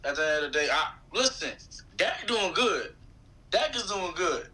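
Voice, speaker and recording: A man's voice: sits high, big pitch swings, normal speed, pushed and bright, poor audio. An American man chatting casually as a podcast guest, using slang and repeating phrases for emphasis. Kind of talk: casual chat.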